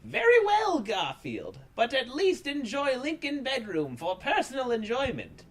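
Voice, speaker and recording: A man's voice: extremely high pitch, huge pitch swings, unhurried, voice pushed up, exaggerated voice. A man voicing an earnest, admiring American statesman in a storybook parody. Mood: gracious.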